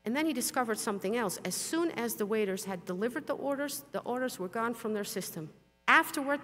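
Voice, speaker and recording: A woman's voice: fairly high pitch, a bit bright. Blunt Dutch-native woman in a hall. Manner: frank, blunt, with mild swearing. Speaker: conference speaker. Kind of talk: conference talk with slides. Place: large conference hall. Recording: long hall reverb.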